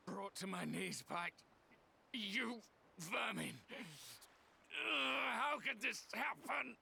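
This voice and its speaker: A man's voice: sits high, creaky, sharp tone, slightly rough, full character voice. A man voicing an arrogant, scornful knight villain in a video game, with pompous, drawling menace. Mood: wounded disbelief.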